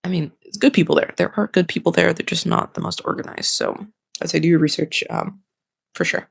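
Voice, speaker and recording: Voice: mid-range voice, expressive, a little fast, gravelly, husky, dry recording, clean studio sound. A young adult vlogger with a slightly nasal voice, rambling candidly and informally and often repeating and restarting phrases. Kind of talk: casual rambling personal vlog.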